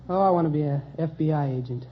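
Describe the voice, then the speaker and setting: An eighteen-year-old American man, a high school contestant giving short, casual interview answers. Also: quick pace. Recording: muffled, noisy vintage radio recording.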